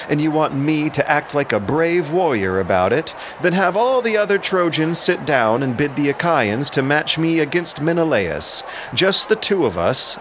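A man's voice: fairly high pitch, background noise, voice pushed up, natural voice. A man playing a vain, self-justifying handsome prince in an audio drama.

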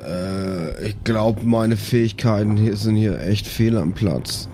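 Man, unhurried, lowish pitch, hesitant, slangy role-player, faint background noise. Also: dark tone. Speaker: tabletop role-playing player. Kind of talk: casual improvised play.

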